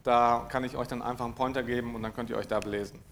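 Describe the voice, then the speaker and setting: A man's voice: mid-range voice, steady tone, very fast, a little hiss or hum. Dry-spoken man giving a technical talk. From East Frisia, northern Germany. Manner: dry, hesitant, many fillers and throat clearing. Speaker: conference speaker. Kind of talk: technical talk to a live audience.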